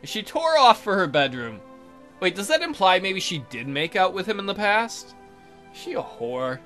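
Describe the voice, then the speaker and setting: A man's voice: high-pitched, big pitch swings, moderate pace, bright, forceful tone, soft background music. A man hosting a let's play, reading narration aloud and adding casual, crude, sarcastic commentary. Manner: sarcastic, sweary.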